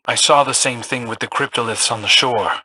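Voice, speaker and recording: A man's voice: medium pitch, brisk, pushed and bright, husky, no echo, low-quality recording. An actor playing a brooding, earnest young male warrior with dry wit in a video game.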